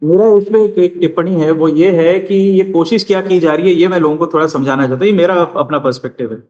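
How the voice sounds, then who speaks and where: A man, a guest commentator, explaining politics and history in a measured, lecturing way with pauses. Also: a little high, darkish tone, reverberant, lo-fi sound.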